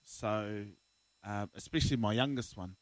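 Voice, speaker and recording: A man's voice: lowish voice, moderate pace, dry recording, low-quality recording. A young man, a guest in a casual podcast interview, bantering with slang and laughing often.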